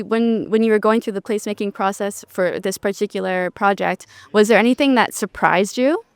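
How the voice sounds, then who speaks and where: A woman's voice: mid-range voice, clear, resonant tone, no room sound. Chatty upbeat woman interviewer. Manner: upbeat and chatty, filler-heavy, laughs. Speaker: interviewer, event host. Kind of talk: casual live interview. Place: outdoors on a bench at a city event.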